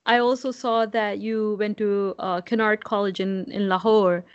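A woman's voice: mid-range voice, steady tone, unhurried, clean tone, highs cut off. A woman interviewer asking long, encouraging questions. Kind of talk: conversational interview.

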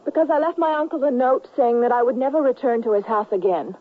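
An actress playing an earnest, pleading young daughter in a western radio drama, with a soft-hearted, imploring manner. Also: female voice, fairly high pitch, quick pace, slightly rough. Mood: hurt, pleading. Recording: muffled, narrow-band 1970s radio broadcast.

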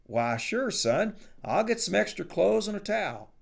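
A man reading the part of a cheerful older farmer father, hearty and excitable. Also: high voice, normal speed, gravelly, voice pushed up, exaggerated voice.

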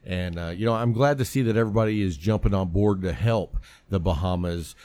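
A man's voice: sits low, fairly quick, mellow. A man hosting a podcast, chatting casually and promoting upcoming events in an easygoing, talkative way. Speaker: podcast host. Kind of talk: casual interview chat.

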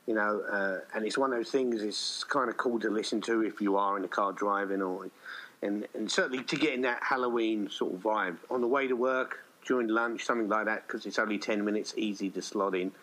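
A man in middle age speaks in a fairly low register. He chats informally as an interview guest, with a rambling, anecdotal way of explaining himself. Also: brisk, fairly bright tone, poor audio. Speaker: writer-director being interviewed. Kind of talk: casual chat.

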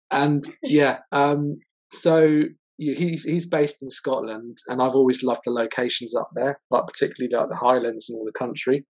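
A man co-hosting a casual podcast interview as the screenwriter, talking in a relaxed, rambling way with fillers. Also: mid-range voice, measured pace, husky, poor audio. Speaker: screenwriter co-host.

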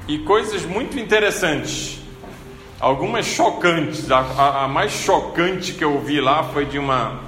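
Preacher, male, bright forceful voice, chatty storytelling sermon, long church reverb. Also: on the high side, a little hiss or hum. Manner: conversational storytelling, frequent rhetorical "né?". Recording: echoey church hall with faint ambience.

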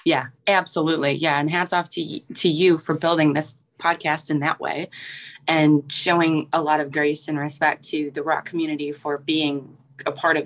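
Very low-voiced woman, enthusiastic guest. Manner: enthusiastic, uses lots of "like" and "right?". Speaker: podcast guest. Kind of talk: casual podcast chat.

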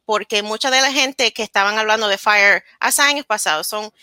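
A woman's voice: mid-range voice, moderate pace, sharp tone, smooth, no echo. A woman in her thirties from New Jersey, telling her story candidly in a casual interview and slipping into words, her language. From New Jersey, United States. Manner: switches into English words mid-sentence. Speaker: interview guest.

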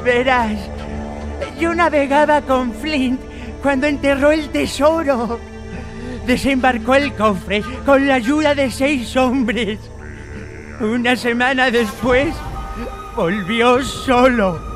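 High-pitched, halting marooned old pirate. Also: male voice, rough recording. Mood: bitter storytelling. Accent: Castilian Spanish. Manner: halting, repeats words, excitable. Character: marooned old pirate castaway, wary, eager, pleading. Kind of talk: Spanish radio drama acting. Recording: old radio drama with music bed.

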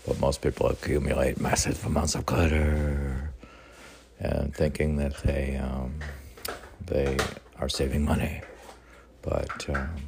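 A man reading his own poetry, with rambling casual asides in an unhurried, halting, wry way. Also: very deep voice, vocal fry, a little mellow, slight background noise. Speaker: poet reading own work.

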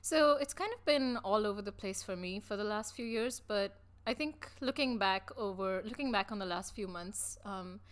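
A woman in her late twenties, a guest, reflecting on her feelings in a measured, casual way. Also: medium pitch. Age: 20s. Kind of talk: casual chat.

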